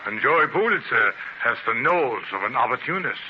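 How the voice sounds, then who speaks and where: A man's voice: medium pitch, very animated, normal speed, lightly acted. An actor playing a wry, worldly-wise newspaperman with a dry, knowing delivery in a 1930s radio drama. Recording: very noisy, muffled 1930s radio transcription.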